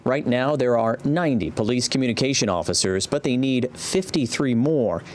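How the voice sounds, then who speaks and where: A man's voice: mid-range voice, normal speed. A man with an American accent reading scripted narration for a TV news report.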